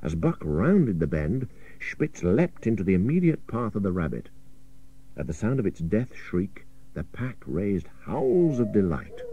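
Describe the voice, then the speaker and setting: A man's voice: on the low side, big pitch swings, a little slow, husky. A man reading an adventure story as a dramatic narrator, with a suspenseful, theatrical delivery. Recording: dull old radio cassette.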